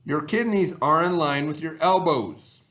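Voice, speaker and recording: Higher-pitched man reading a lesson aloud. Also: measured pace, fairly bright tone. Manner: instructive, simple explanations with questions to listeners. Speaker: teacher reading aloud to children. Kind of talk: read-aloud lesson with simple explanatory asides.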